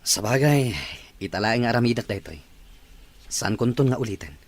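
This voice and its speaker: A man's voice: mid-range voice, expressive, normal speed, no echo, close to an everyday voice. A man playing an easygoing drinking buddy in an Ilocano audio drama.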